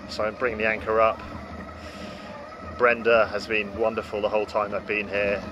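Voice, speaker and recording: A man's voice: fairly low pitch, rough recording. Upbeat man vlogging from a sailboat. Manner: chatty and upbeat, with some hesitations. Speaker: solo sailor vlogger. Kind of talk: casual vlog narration. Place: aboard a small sailboat.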